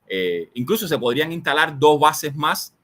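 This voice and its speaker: A man's voice: a little high, very animated, normal speed, pushed and bright. A man with a Cuban accent speaking as an interview guest, reflective and discursive, emphatically building lists and repeating words. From Cuba. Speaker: interview guest, magazine editor. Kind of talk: conversational political and cultural reflection.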